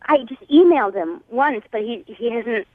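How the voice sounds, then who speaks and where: A woman with a high voice on a narrow, muffled phone line, dry and without room sound. She tells a personal story casually as a radio caller, sounding a little unsure. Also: expressive, normal speed, dry recording. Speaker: radio call-in guest. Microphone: phone call. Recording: band-limited phone line.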